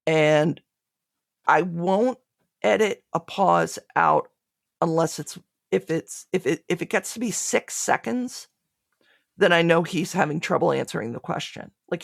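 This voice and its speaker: Woman chatting in an interview, lots of vocal fry, very dry sound, keeps restarting her sentences. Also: on the low side, dry recording. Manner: halting, often restarts phrases mid-thought. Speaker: podcast creator being interviewed. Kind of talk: reflective conversational interview.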